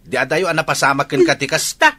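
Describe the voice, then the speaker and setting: A man's voice: fairly high pitch, sing-song, fast talker, bright, forceful tone, exaggerated voice. An actor playing an angry, scornful father in a Filipino radio drama, with an Ilocano accent. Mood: furious. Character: angry, scornful father scolding his daughter.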